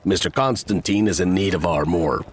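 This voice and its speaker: Man as a very deep, self-assured criminal fixer. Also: normal speed, a little hiss or hum, natural voice. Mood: conspiratorial. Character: smooth, self-assured criminal fixer briefing his thieves. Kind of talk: character acting in a fantasy audio drama.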